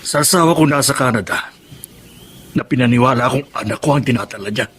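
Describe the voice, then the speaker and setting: A man's voice: medium pitch, fast talker, a bit bright, a little hiss or hum, natural voice. An actor with a Filipino accent playing a wronged, brooding middle-aged man in a radio melodrama.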